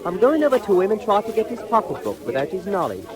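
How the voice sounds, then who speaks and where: A man's voice: sits high, sing-song, fast, a crowd in the background. An actor playing a cocky, bossy, streetwise teenage boy pickpocket in 1940s audio drama style. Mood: cocky, sly.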